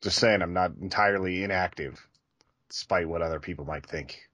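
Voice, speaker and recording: A man's voice: deep voice, moderate pace, fairly bright tone, no room sound. A man co-hosting a podcast, chatting casually and slipping in wry remarks. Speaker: podcast co-host. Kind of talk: casual chat and banter.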